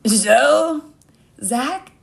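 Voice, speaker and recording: A woman storyteller voicing an awkward, flustered young single woman on a date, speaking haltingly. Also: sits high, lively intonation, creaky, pitched above the performer's own voice, full character voice. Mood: tentative. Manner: hesitant, halting with ums and uhs.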